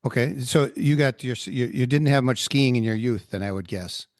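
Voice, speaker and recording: A man's voice: mid-range voice, normal speed, a little mellow, no room sound. A man hosting a podcast in an easygoing way, talking with frequent fillers. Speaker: podcast host.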